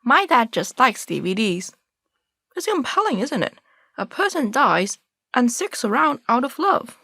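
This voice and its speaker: A young woman playing an expressive, romantic friend in an audio drama. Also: fairly high pitch, measured pace, breathy edge, dry recording, clean studio sound, full character voice. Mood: bright, friendly. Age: young adult. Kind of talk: scripted audio drama dialogue.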